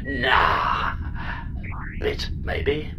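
A woman's voice: a bass voice, very animated, drawn-out words, whispered, heavily acted. A woman playing a chatty, flippant and reckless spaceship pod computer in a comedy audio drama, talking in a breezy, mock-grand theatrical way. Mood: blithely evasive.

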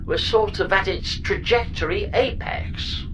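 A woman's voice: mid-range voice, unhurried, noisy background, close to an everyday voice. A woman voicing a chirpy, scatterbrained space pod computer in a sci-fi audio comedy, speaking with whimsical, flippant, hammy relish. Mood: blithe.

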